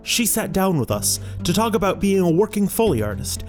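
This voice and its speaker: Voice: medium pitch, big pitch swings, brisk, darkish tone, faint music behind. A student presenter reading scripted narration for an audio feature.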